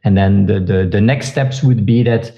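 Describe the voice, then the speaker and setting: A man's voice: on the low side, warm tone. Belgian man with a Dutch accent. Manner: halting, with frequent restarts and fillers. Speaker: podcast guest. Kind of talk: casual interview talk.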